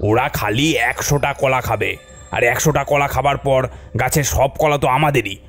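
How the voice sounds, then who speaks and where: Man playing a bossy mid-pitched cartoon uncle. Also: moderate pace, natural voice. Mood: unworried, genial. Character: bossy, unworried uncle, comic grown man. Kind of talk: cartoon voice acting.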